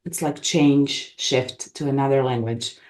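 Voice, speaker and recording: A middle-aged woman from Bosnia and Herzegovina, a gentle, reflective guest speaking English as an over a video call. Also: very deep voice, fairly even intonation, a little slow. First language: Bosnian and Croatian. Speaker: regression facilitator, guest. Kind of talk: casual interview chat. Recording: echoey room.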